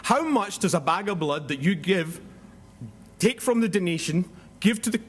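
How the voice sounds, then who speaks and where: A Scottish man giving a seminar talk, loud and jokey, putting questions to the audience. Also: high-pitched, animated, normal speed, husky. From Scotland. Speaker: conference speaker. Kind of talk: seminar presentation.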